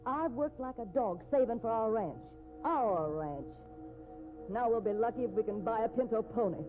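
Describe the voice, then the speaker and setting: An actress playing a worried, sharp-tongued rodeo wife in a 1950s radio drama, with American accent. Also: female voice, a little high, expressive, normal speed, phone-quality sound, close to an everyday voice. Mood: scolding. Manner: fretful, scolding. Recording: thin vintage radio recording with music.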